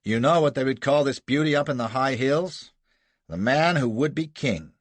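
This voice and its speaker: A man reading aloud and voicing a gruff, blustering American colonel with a barking, bullying manner. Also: mid-range voice, lively intonation, normal speed, dry recording, pitched above the performer's own voice, close to an everyday voice. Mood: scornful.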